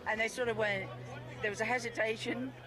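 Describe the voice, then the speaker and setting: A hoarse woman tells her story outdoors, her voice rough and wearing out as she goes. She chats in a rambling way, full of ums, and her pitch sits high. A busy crowd fills the air around her. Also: fairly even intonation, a little fast. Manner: chatty, hesitant with many fillers. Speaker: interviewee. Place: outdoors at a busy urban rally. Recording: crowd behind, outdoor street sound. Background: crowd noise.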